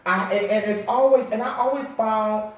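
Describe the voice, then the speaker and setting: A man's voice: sits high, fairly even intonation, normal speed, a bit bright. An American man hosting a casual interview in an effusive, encouraging, sassy and slangy way. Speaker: host interviewing a guest; makeup artist. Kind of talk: casual, chatty interview talk. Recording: very echoey room, far from mic.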